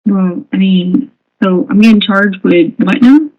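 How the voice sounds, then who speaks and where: A woman being questioned after her arrest, speaking in a relaxed, calm way, in a muffled lo-fi interview recording. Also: mid-range voice, a little slow. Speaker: arrested suspect.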